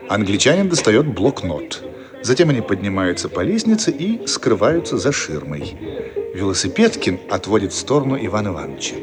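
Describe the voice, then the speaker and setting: A male narrator reads scripted stage directions for a radio drama. His fairly low voice moves with lively intonation while music plays loudly behind him. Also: brisk. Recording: archive radio play with loud music.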